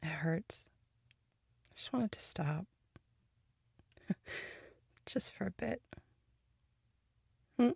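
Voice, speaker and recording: A woman voicing a grieving young girlfriend who moves between bitter anger and soft whispers, speaking close to the microphone. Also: on the low side, expressive, a little slow, creaky, long pauses, husky, dry recording, heavily acted. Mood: loving. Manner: shifts between angry outbursts and soft whispers. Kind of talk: audio roleplay to a listener.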